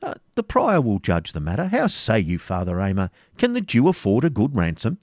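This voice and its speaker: A man's voice: on the low side, wildly swooping pitch, fairly quick, darkish tone, dry recording, clean studio sound. Man playing a grave, commanding outlaw captain. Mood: wry command.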